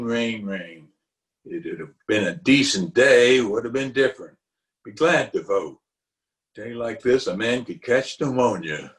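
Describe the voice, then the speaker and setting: A man plays a pompous middle-aged uncle from an old radio comedy. The delivery is very slow and lively in pitch, full of blustery hesitations and muttering, grumbly yet kindly underneath. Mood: grumbling. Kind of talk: 1940s radio comedy acting.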